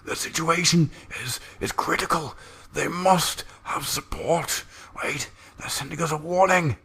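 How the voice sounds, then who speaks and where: Frantic psychic, pitched way up. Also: male voice, measured pace, vocal fry, pushed and bright, slightly rough, heavily acted. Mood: urgent, strained. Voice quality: strained, laboured. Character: frantic, strained male psychic relaying desperate battle warnings. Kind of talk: dramatic science-fiction storybook character voice.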